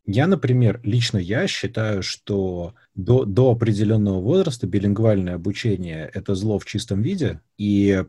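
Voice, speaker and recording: Low dark-voiced man asking questions. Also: expressive, moderate pace, few pauses, breathy edge, no room sound, studio quality. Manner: thoughtful, asks long searching questions. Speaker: interview host. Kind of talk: conversational interview.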